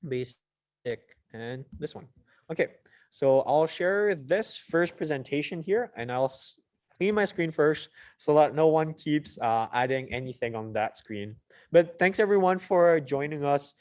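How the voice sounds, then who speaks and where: A man's voice: medium pitch, darkish tone. A young man from Mauritius moderating an informal online student presentation, with casual, slightly hesitant phrasing. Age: young adult. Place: online video call.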